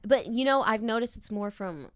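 A woman's voice: a little high, lively intonation, moderate pace, no room sound. Bubbly, giggly young woman guest. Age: young adult. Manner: giggly, bubbly storytelling with frequent laughs. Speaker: podcast guest. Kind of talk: casual chat.

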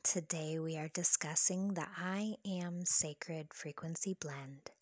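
Slow, breathy woman guiding a meditation. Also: low-pitched, dry recording, clean studio sound. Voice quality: soft, breathy, partly whispery. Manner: soothing, slow, measured delivery. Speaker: guided meditation host. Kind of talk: scripted guided meditation with spoken affirmations.

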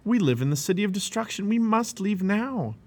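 A man's voice: high-pitched, very animated, a little fast, a little mellow, voice pushed up. A man voicing an earnest, fervent young male pilgrim who pleads and trusts, in a children's storybook. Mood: urgent pleading.

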